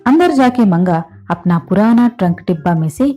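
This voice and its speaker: A woman's voice: medium pitch, expressive, moderate pace, dark tone, faint music behind. A woman narrator reading a scripted storybook tale.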